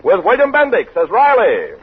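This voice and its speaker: A male announcer reads in polished old-time radio style. His voice is high and swoops dramatically, with music on a thin, phone-like recording. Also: wildly swooping pitch, moderate pace, very noisy. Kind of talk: 1940s radio announcing, scripted advertising and narration. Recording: tinny 1940s radio broadcast with music.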